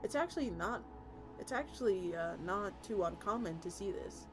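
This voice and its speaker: Lady streamer reading a story out loud, kinda deep for a woman, trips on words, music going behind her. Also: animated, normal speed. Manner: stumbles over words and restarts lines. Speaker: VTuber streamer. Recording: music playing under the voice.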